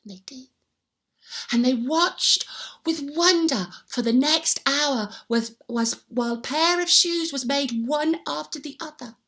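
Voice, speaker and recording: Female children's storyteller, high and slow in delivery, theatrical and animated. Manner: dramatic, with repeated sound words and hushed asides.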